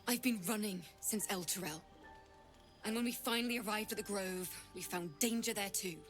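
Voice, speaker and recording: A woman's voice: mid-range voice, normal speed, close to an everyday voice. A woman playing an eager, sweet young bard in a fantasy game, nervous, hopeful, earnest and gushing. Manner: gushing, earnest, hesitant starts. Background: music.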